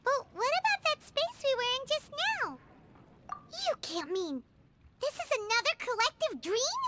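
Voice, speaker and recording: A woman voicing a small, excitable, childlike female fairy companion in a video game, squeaky and exclamatory. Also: moderate pace, very noisy. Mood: curious. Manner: speaks of herself in third person, exclamatory. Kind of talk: animated video game voice acting.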